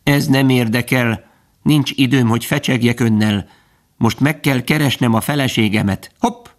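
A small, busy grasshopper from a storybook played by a man, grating and raspy in tone. He is serious and fussy, speaking in a formal, brusque way at a slightly raised pitch. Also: close to an everyday voice. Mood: brusque, impatient.

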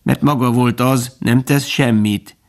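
Man doing a raspy, fussy little grasshopper. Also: mid-range voice, fairly even intonation, pitched above the performer's own voice, natural voice. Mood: stiff, reassuring. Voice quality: raspy, grating. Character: fussy, serious male grasshopper, small, busy, married.

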